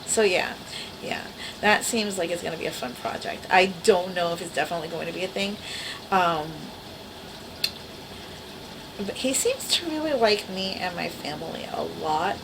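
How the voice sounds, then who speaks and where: A woman's voice: lowish voice, lively intonation, normal speed, creaky, long pauses, background noise. A woman in her forties with a small, girlish voice, chatting casually and wryly to her camera at home. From the Bronx, New York. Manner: rambling, self-deprecating, wry. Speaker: vlogger. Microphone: camera mic.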